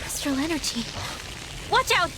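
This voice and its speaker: A woman playing an excitable, alert young girl adventurer in a video game, exclaiming urgently. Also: extremely high pitch, moderate pace, noisy background. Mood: urgent alarm. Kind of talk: dramatic video game acting. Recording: loud music behind.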